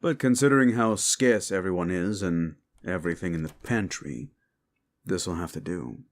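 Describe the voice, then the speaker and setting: A man playing a gruff, world-weary demon agent who hunts monsters, with a terse, laid-back, wry drawl, in an action-horror audio drama. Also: low voice, moderate pace. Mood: relaxed, wry.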